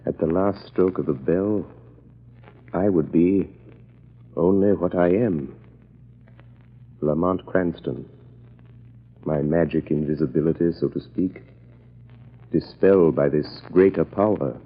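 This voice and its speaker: An actor playing a calm, grave crime fighter with measured, dramatic delivery in an old-time radio drama. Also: male voice, low-pitched, breathy edge, phone-quality sound, full character voice. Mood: grave, mysterious. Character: mysterious crime-fighting man, calm, grave, secretly invisible avenger. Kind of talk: 1930s radio acting.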